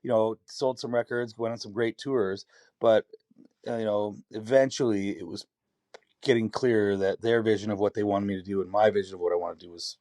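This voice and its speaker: Raspy canadian guy, lowish voice, rambles and restarts a lot, dry close sound. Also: fairly even intonation, fairly quick, a little mellow, no room sound. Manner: candid, rambling storytelling with many restarts. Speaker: singer-songwriter guest. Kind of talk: podcast conversation.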